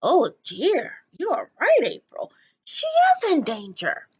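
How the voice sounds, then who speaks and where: A woman's voice: very high voice, wildly swooping pitch, slow pace, darkish tone, voice pushed up, heavily acted. A woman voicing a scolding elderly retired actress in a storybook, with an emphatic theatrical manner. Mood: dismayed. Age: older.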